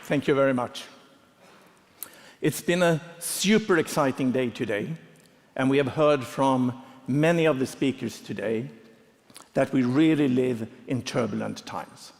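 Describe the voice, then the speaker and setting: A middle-aged man speaks on stage in short, measured phrases. His pace is unhurried, almost stately. The sound carries the long echo of a large hall. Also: medium pitch, a little mellow, a faint crowd. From Sweden. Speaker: conference speaker. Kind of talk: prepared talk on stage.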